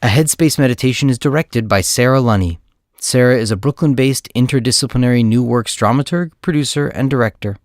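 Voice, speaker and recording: A man hosting an audio play series, reading a scripted introduction in a measured, clearly phrased way. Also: mid-range voice, dry recording, clean studio sound. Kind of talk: scripted introduction, read aloud.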